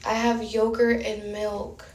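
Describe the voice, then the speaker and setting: Young woman, slightly high voice, rambling livestream chat, phone mic in an echoey room. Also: completely flat delivery, takes it slowly, a little mellow. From Houston, Texas. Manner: rambling, talks straight to viewers reading chat names.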